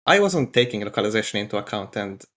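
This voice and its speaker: A man, a game developer guest on a podcast, talking in a relaxed way with many pauses. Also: fairly low pitch. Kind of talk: casual podcast interview.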